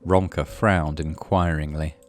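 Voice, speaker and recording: A man doing a let's play, reading a visual novel in a dramatic, animated way over game music. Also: very deep voice, a little slow. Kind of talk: let's play, expressive story narration.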